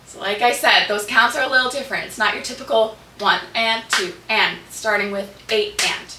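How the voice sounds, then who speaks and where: A slightly hoarse woman hosts a dance tutorial, giving step-by-step instructions and counts in short rhythmic cues. Her medium-pitched voice comes from a distance in an echoey room, with noticeable background noise. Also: normal speed. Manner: clipped rhythmic counting and cueing of moves.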